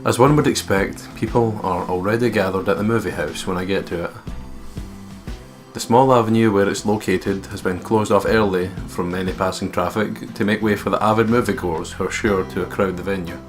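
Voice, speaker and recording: Low-voiced man co-hosting a let's play. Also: fairly even intonation, brisk, faint music behind. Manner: sweary, joking. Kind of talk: casual gaming commentary mixed with reading story text aloud.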